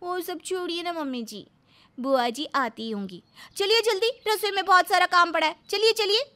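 A woman's voice: very high-pitched, moderate pace, bright, forceful tone, a little hiss or hum. A woman voicing a vain, whiny young daughter-in-law in a cartoon family story, with a dramatic delivery. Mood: coaxing. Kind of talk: animated family story acting.

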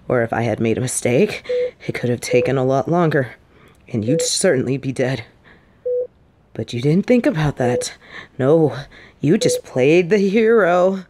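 Woman acting a slow-spoken, intense secret agent. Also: rumbling low, darkish tone, breathy edge, heavily acted. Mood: cold, scolding anger. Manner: slow, emphatic, emotionally intense delivery. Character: stern female secret agent, fiercely protective, secretly in love. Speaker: voice actor in audio roleplay. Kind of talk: dramatic intimate audio roleplay.

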